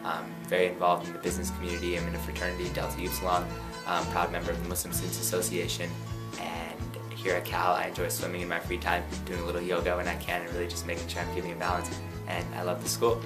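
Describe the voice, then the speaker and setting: Young guy from pakistan pitching his student campaign, low flat voice, music under it. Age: 20s. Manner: earnest, rapid and persuasive. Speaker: student election candidate. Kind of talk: campaign pitch and light interview answers.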